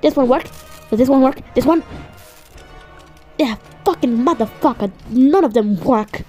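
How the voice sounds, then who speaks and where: A woman's voice: high voice, animated, a little slow, dark tone. A woman voicing a frustrated, obsessive cartoon stick-figure inventor in an improvised comedic fan dub, muttering and swearing. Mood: excited, muttering. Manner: muttering, profane. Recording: music playing behind the voice. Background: background music.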